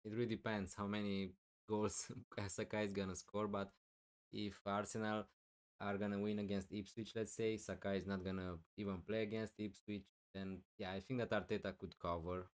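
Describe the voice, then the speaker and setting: Man, live streamer, low voice, smooth and clear, loud with lots of fillers, voice wearing thin. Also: little pitch movement, normal speed. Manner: loud, thinking aloud with many fillers. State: losing his voice, clearing throat. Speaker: fantasy football streamer. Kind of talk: live stream answering chat questions.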